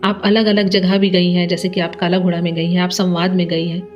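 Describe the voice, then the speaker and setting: A woman hosting an interview podcast, summing up deliberately before putting her questions, on a slightly dull recording. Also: lowish voice, little pitch movement, a little mellow, faint music behind. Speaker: podcast host.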